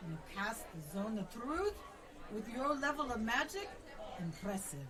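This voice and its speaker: A woman's voice: fairly high pitch, sing-song, measured pace, a crowd in the background. A woman playing a cool, haughty spellcaster adventurer in a comedy radio play.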